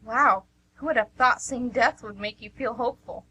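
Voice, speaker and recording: A woman's voice: a little high, normal speed, pushed and bright, lo-fi sound, a little hiss or hum. A woman playing a dry, teasing, pragmatic friend in an amateur radio drama. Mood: dry, questioning.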